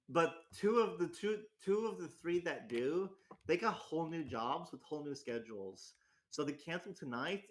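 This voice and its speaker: A young man in his twenties hosts his own casual talk, rambling and self-deprecating. He keeps circling back and restarting his sentences with lots of fillers, and his voice sits at an ordinary middle pitch for a man. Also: fairly bright tone. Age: 20s. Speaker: host. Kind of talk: casual solo chat. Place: home in a rural area.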